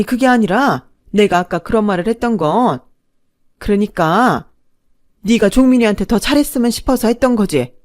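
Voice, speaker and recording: A woman voicing a bullying older mother-in-law who scolds and insults in a high, loud voice. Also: lightly acted. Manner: shrill scolding, jabbing insults.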